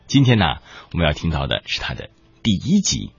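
A man presenting a radio drama with scripted, expressive introduction and narration. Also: low voice, brisk, vocal fry, slightly rough, dry recording. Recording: dull audio with music behind.

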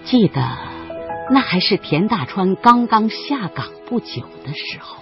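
A man narrating a radio drama from a script in a measured storytelling manner. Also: sits high, big pitch swings. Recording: muffled broadcast under loud music.

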